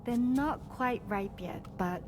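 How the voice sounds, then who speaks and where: A woman playing a gentle, nurturing, soft-hearted character in a video game cutscene. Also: a little high, slow pace, noisy background. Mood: tender.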